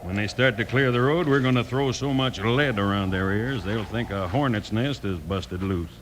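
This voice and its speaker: An actor playing a sneering, scheming Western outlaw boss who talks tough and gloats, in an old-time radio drama. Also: male voice, on the low side, normal speed, close to an everyday voice. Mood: gloating. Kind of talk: 1940s-50s Western radio acting. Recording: noisy old radio recording.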